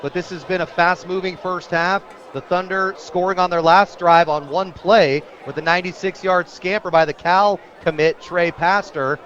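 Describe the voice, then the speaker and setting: An American man calling live high school football as a radio play-by-play announcer, getting excitable on big plays and rooting for the home team. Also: normal speed, few pauses, lo-fi sound.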